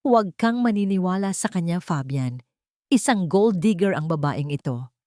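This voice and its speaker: Woman acting haughty, domineering rich older mother, lowish voice. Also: expressive, moderate pace, warm tone, no echo, clean studio sound, close to an everyday voice. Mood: threatening.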